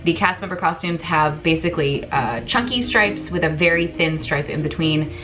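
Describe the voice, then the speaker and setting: A woman's voice: sits low, moderate pace, a bit bright, soft background music. Chatty, self-mocking woman vlogger. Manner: chatty, self-deprecating, jokey. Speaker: craft vlogger. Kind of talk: casual tutorial chat. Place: home room, indoors.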